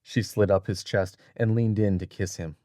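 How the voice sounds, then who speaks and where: A man's voice: fairly low pitch, fairly even intonation, normal speed, warm tone, dry recording, studio quality. A man narrating a dramatic audiobook from a script as a storyteller.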